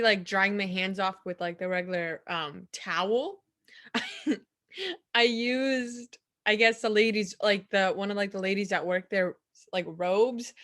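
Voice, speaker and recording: Chill young woman from russia, bright voice, rambles a lot. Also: mid-range voice. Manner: laid-back, rambling with lots of "like". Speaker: guest. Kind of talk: casual chat.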